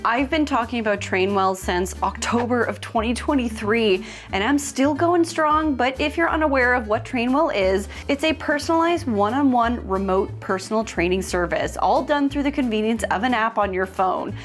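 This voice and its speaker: A woman hosting a DIY vlog, talking in an upbeat, expressive, casual and chatty way. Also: a little high, moderate pace, hardly any pauses, soft background music. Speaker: host of a DIY home makeover vlog.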